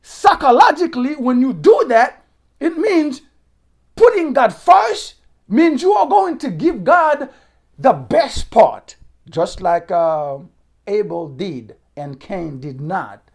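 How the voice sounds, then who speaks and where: A middle-aged Haitian pastor preaches a sermon with a Haitian Creole accent. His pitch swings widely as calm, filler-laden teaching rises into emphatic outbursts. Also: slow, gravelly. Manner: preaching with rising emphatic bursts and frequent fillers.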